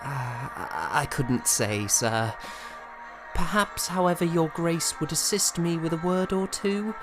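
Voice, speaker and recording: An actor playing a proud, wordy young composer in a period audio drama, with formal old-fashioned diction and a theatrical way of telling things. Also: male voice, a little high, a little slow, close to an everyday voice. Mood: deferential, hesitant. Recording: music behind the voice.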